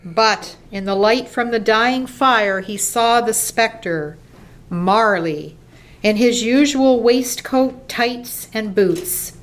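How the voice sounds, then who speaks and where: A woman narrating a radio play from a script in a measured storytelling manner. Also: a little high. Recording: faint background noise.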